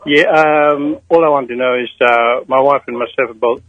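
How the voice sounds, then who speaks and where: A man's voice: medium pitch, fairly even intonation, no echo, muffled recording. Hesitant older man from Fiji calling in. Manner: hesitant, halting. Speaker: caller. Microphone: telephone.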